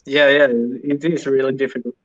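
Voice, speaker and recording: A man from Spain with a Spanish accent, a podcast guest answering interview questions in halting English with many restarts. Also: medium pitch, monotone, muffled recording. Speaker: podcast guest, sim racing driver.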